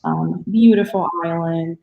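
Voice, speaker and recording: A woman's voice: fairly low pitch, unhurried, vocal fry, phone-quality sound. Woman doctor, echoey room. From Dominican Republic. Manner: earnest, many ums. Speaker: physician guest. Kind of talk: podcast interview.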